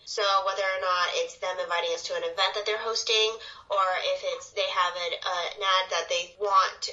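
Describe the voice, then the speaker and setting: Young woman from Taiwan, bright forceful voice, talkative casual interviewee, slightly lo-fi audio. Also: mid-range voice. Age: young adult. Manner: talkative, explains in run-on sentences with frequent "like". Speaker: interview guest, social media video producer.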